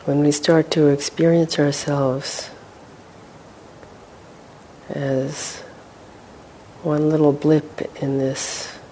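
A woman leading a guided meditation as a teacher, speaking gently and without hurry, with long pauses. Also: level tone, poor audio. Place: meditation hall with a group. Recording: faint rumbling background noise.

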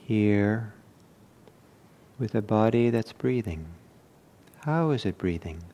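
Slow-paced man guiding a meditation. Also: fairly low pitch, lively intonation, dark tone. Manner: measured, with long pauses between short phrases. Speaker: meditation teacher. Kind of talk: guided meditation instruction.

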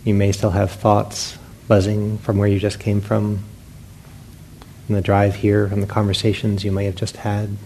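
A man teaching meditation to a group, giving gentle, unhurried guidance with long pauses between phrases. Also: fairly low pitch, monotone, vocal fry, poor audio. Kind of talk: guided meditation instruction to a group. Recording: faint background noise.

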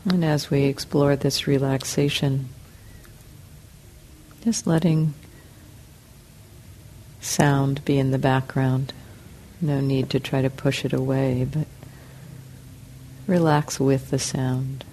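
A woman teaching a guided meditation in calm, measured short phrases with long pauses between them. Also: very deep voice, slight background noise. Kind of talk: guided meditation, slow spoken instructions.

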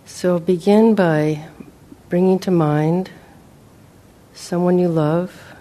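A woman leading a guided meditation as a teacher, speaking gently and in a measured way with long pauses. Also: on the low side, steady tone.

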